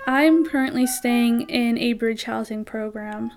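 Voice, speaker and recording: A woman with a fairly high voice speaks directly and with pride about herself. Faint music plays behind her. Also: a little slow, darkish tone. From Jacksonville, Florida. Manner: proud, direct. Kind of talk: personal testimony.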